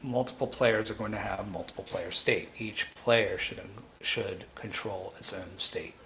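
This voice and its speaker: A man hosting a live coding tutorial, thinking aloud in a halting way and repeating phrases as he reasons. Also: fairly low pitch, sharp tone, a little hiss or hum, low-quality recording. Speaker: programming tutor and livestream host.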